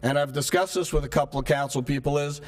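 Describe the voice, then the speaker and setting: A man with a soft, somewhat high voice addresses a town council from the floor. He speaks earnestly and stacks one rhetorical question on another. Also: fast talker, soft background music. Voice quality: soft-spoken. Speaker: resident speaking at public comment. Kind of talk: public comment at a town meeting. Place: town hall meeting room. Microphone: microphone stopped working partway.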